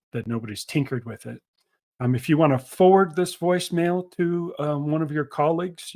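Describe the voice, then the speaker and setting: A man presenting a product demo webinar, explaining steadily with frequent ums and uhs. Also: mid-range voice.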